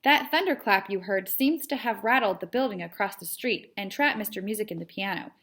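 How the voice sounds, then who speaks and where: A woman's voice: medium pitch, expressive, fairly quick, fairly bright tone, close to an everyday voice. A woman voicing a patient, sensible but exasperated male storybook cat who explains things carefully and hesitantly. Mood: placating. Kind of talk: children's storybook character read aloud.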